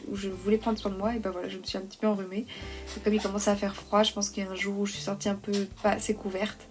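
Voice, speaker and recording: A young woman with a slight head cold, talking softly and hesitantly in a calm, cosy vlog voice-over. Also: mid-range voice, racing, music in the background. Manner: soft, hesitant, many "euh" fillers. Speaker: vlogger, freelance illustrator.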